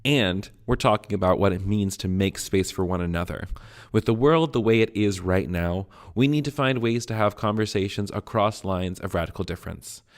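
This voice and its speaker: A man with a lowish voice reads a scripted podcast intro. His delivery is friendly and upbeat, like a warm welcome. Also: moderate pace. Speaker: show announcer.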